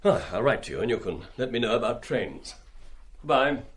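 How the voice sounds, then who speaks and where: Man acting earnest, animated schoolmaster. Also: medium pitch, a little hiss or hum, natural voice. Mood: brisk, friendly. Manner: warmly insistent, brisk and polite. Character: earnest, kindly male science master, gently persistent. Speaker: actor in a radio play. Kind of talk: radio drama acting.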